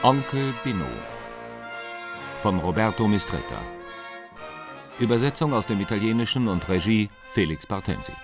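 A man's voice: lowish voice, animated, normal speed, long pauses. A man reading a scripted title announcement for a radio play. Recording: prominent music behind.

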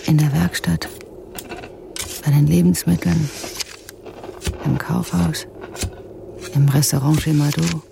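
An actress playing a curious, watchful village storyteller in a radio drama, with a creaky, mellow voice. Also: female voice, low voice, fairly even intonation, slow pace, long pauses, noisy background, full character voice. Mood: observant. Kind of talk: German radio drama narration, voicing villagers.

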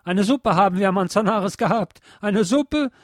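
Man mimicking a whining, high-pitched ragged veteran beggar. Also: expressive, moderate pace, no room sound, studio quality. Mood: mock pitiful. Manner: whining, stammering beggar's boast. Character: pitiful begging ragged war veteran, mockingly imitated.

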